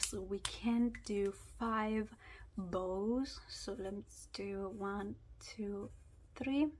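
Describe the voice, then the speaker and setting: A woman's voice: mid-range voice, a little hiss or hum. A woman chatting casually as she sorts cash into savings challenges, her voice weak from a sore throat and sometimes dropping to a whisper. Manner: chatty, drops to whispers at times. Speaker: budgeting vlogger. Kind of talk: casual chat while doing cash envelope challenges.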